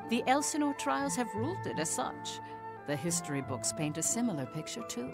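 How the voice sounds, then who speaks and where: Solemn, composed professor, music behind. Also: female voice, fairly high pitch, very animated, vocal fry. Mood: calm, knowing. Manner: measured, formal, solemn. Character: composed, solemn female professor guarding a family secret. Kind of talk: dubbed video game cutscene acting.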